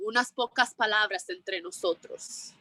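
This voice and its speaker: A woman performing a bilingual Shakespeare monologue outdoors as a remorseful general facing death, in a measured, solemn delivery. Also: medium pitch, sharp tone, dry recording. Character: man, a remorseful general confessing before death.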